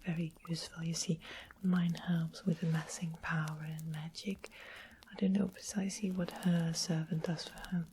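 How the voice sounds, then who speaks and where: A woman voicing a sweet, mischievous witch in an ASMR fantasy roleplay, in a breathy whisper with an unhurried, polite, coaxing manner. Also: low-pitched, flat delivery, mellow, slight background noise, close to an everyday voice. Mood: softly informative. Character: sweet-smiled, mischievous witch of the west, softly sly.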